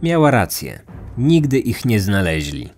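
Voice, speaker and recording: A man's voice: lowish voice, big pitch swings, measured pace, darkish tone, faint music behind. A man reading scripted true crime narration as a podcast host.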